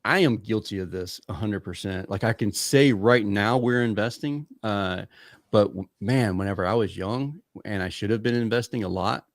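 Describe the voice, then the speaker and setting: A man's voice: lowish voice, moderate pace, no room sound, studio quality. A man hosting a podcast, chatting loosely and jokingly with lots of fillers. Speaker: podcast host. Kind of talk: casual interview chat.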